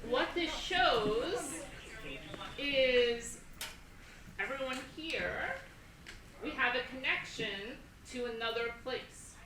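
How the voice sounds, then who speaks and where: A woman moderating an assembly, explaining things deliberately and repeating phrases for emphasis, recorded in an echoey hall. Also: high-pitched, lively intonation, far from the mic. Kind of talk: educational talk to an assembly. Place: school assembly, speakers on a stage. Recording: echoey hall from afar, faint noise.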